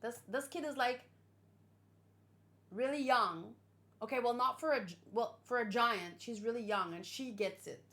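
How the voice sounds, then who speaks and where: A woman giving excited, rambling reaction commentary, emotional and full of gushing exclamations. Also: fairly high pitch, moderate pace. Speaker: host of a manga read-along reaction.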